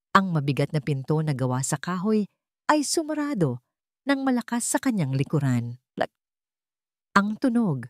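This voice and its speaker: A woman narrates a dramatic scripted story. Her voice sits a little low for a woman. The recording is very dry, with no audible room around her. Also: no echo.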